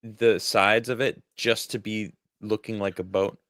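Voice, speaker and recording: A man co-hosting a casual podcast, giving measured, thoughtful remarks. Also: on the low side, steady tone, a bit bright, dry recording, clean studio sound. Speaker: co-host.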